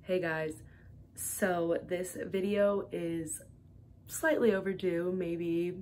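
Soft-spoken hesitant young woman vlogging. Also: fairly low pitch, steady tone, slow pace, slight background noise. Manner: hesitant, soft, many fillers. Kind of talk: casual vlog chat. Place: large indoor gym, then a small room at home. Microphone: phone camera close up.

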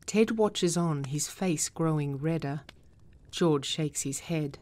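Casting: female script narrator, deep for a woman, unhurried, measured reading of stage directions. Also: a little mellow. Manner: measured, descriptive.

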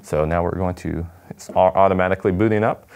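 A man's voice: low voice, brisk, creaky, darkish tone, slight background noise. A man, giving a casual unboxing demonstration as a product presenter. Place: indoor studio at a table.

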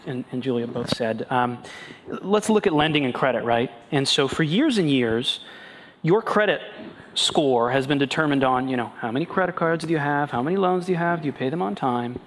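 A man's voice: on the high side, animated, fairly quick. Emphatic man in a reverberant hall. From Peru. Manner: earnest, emphatic with restarts. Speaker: panelist. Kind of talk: panel discussion. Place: large banquet hall on stage. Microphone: headset mic. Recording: long hall reverb, faint noise.

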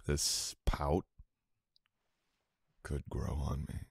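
A man playing a sweet, teasing boyfriend in an intimate ASMR audio roleplay, coaxing softly and unhurriedly. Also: extremely low pitch, little pitch movement, very slow, vocal fry, breathy edge, dry recording, exaggerated voice. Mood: tender coaxing.

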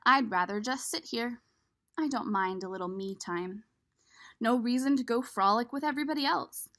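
A woman's voice: fairly high pitch, normal speed, a bit bright, lightly acted. A woman voicing a worry-prone homebody egg from a picture book, reading expressively and chattily to the listener. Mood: chatty, easygoing. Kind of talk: expressive storybook read-aloud.

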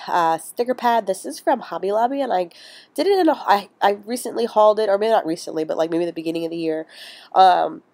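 A woman with a slightly low voice and a congested, stuffy nose talks through her craft project in a chatty, meandering way, full of ums and restarts. The sound is dry and close, with no room around her. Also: a little mellow, dry recording. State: congested from a cold. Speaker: crafting vlogger. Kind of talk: casual voice-over narration of a craft project.

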